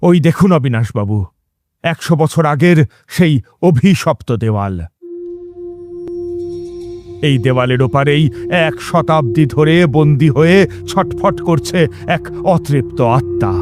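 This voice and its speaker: A man acts as a grave tantric priest in a horror radio play. His fairly high male voice is solemn, ominous and commanding, set over background music. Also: animated, moderate pace, close to an everyday voice. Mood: solemn resolve. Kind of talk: dramatic Bengali horror audio story.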